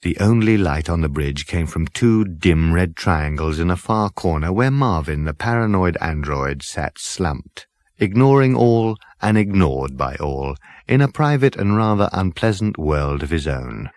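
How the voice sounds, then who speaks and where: A man reads a comic sci-fi audiobook. His voice sits a little low and moves with lively, expressive intonation. The sound is dry and close, the delivery dramatic and scripted. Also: measured pace, dry recording. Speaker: audiobook narrator.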